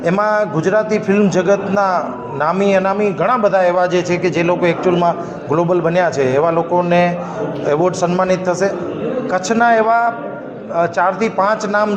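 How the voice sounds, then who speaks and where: A man gives an informal interview as an organiser, hesitating for words. His voice is high, in a very echoey, dull recording with a clear crowd behind. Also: normal speed. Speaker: award organiser. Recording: echoey hall, dull sound, loud crowd.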